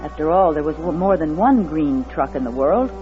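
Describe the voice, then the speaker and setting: A woman's voice: low voice, lively intonation, moderate pace. An actress playing an anxious, wary woman motorist on the run, narrating in a dramatic 1940s radio suspense style. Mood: tense narration. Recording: dull vintage radio recording with music behind.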